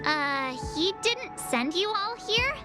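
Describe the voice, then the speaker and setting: A woman voicing a small, excitable childlike female companion in an anime-style video game, with a squeaky, cute voice and exclamatory delivery. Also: slow, full character voice. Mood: eager, pleading. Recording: music playing behind the voice.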